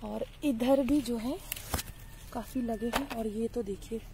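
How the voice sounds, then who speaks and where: A woman's voice: a little high, slow pace, dark tone, slight background noise. A young woman vlogging casually from her sunny outdoor vegetable garden, chatting to viewers as friends with plenty of fillers while filming on a handheld phone. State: hot and bothered by sun and mosquitoes. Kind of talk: casual garden tour vlog. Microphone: handheld phone camera.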